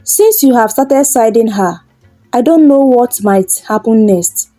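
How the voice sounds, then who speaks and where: A huffy, defensive village wife from a folktale, voiced by a woman storyteller. Her speech is slow and high, resentful at every turn. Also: lively intonation, warm tone, no room sound, soft background music. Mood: huffy resentment.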